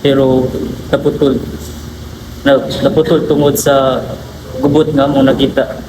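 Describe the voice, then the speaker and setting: A young man with a Filipino accent playing a sombre witness haltingly recounting events in an amateur drama, over background noise. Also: medium pitch, little pitch movement, roomy sound, natural voice. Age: young adult. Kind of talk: amateur short film drama, halting monologue. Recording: noisy background.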